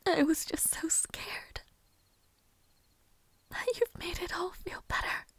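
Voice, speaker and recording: A woman playing a fragile, devoted young maid in an intimate audio roleplay, speaking in a breathy, hesitant, tender whisper. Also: very high voice, steady tone, slow, no echo, full character voice. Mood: tender relief.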